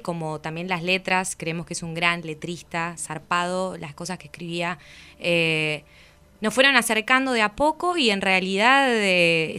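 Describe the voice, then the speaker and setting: A woman's voice: fairly low pitch, pushed and bright. A woman with a Rioplatense Argentine accent, talking casually and enthusiastically as a musician being interviewed.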